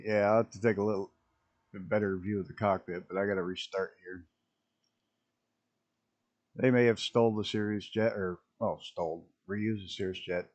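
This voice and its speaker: A man with a rough early-morning voice, chatting casually and rambling as he streams a flight simulator. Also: on the low side, steady tone, normal speed, pauses often. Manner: rambling, self-interrupting, chuckling at mishaps. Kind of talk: casual live-stream commentary.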